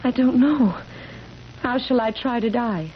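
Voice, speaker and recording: An actress playing a solemn, insistent young wife who longs to die, grave and imploring in old-time radio drama style. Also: female voice, a little high, measured pace, lightly acted. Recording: muffled, very noisy old radio recording.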